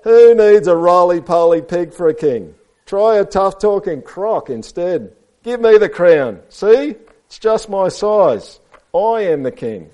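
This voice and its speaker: A man voicing a tough-talking, boastful storybook crocodile with a loud, emphatic delivery. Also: high voice, lively intonation, takes it slowly, voice pushed up. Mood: scornful boasting.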